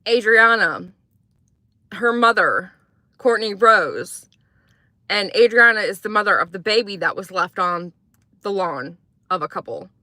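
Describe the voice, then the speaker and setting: Young woman from croatia, rambling true-crime stream host, bright crisp voice, dry close sound, chats with viewers. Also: mid-range voice, animated, unhurried, long pauses, no room sound. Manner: chatty, rambling, reads and answers chat. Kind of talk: casual morning livestream commentary.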